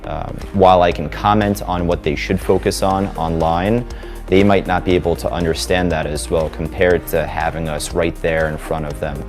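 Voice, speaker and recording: A man's voice: low voice, steady tone, moderate pace, darkish tone. A man, a senior instructor explaining how he coaches students. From New York. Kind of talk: interview testimonial. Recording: music bed underneath.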